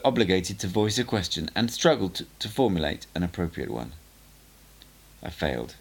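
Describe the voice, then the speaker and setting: Creaky low-voiced man reading a book aloud. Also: a bit bright. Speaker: amateur audiobook reader.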